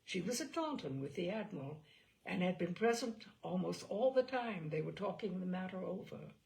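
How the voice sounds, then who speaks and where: Expressive, creaky-voiced woman narrator. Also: on the low side, moderate pace, low-quality recording. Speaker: audiobook narrator. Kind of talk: expressive scripted narration of a classic novel.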